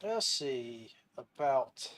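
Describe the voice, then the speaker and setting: A man hosting a casual interview podcast, speaking haltingly and often restarting his phrases. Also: mid-range voice, sing-song, a bit bright. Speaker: podcast host.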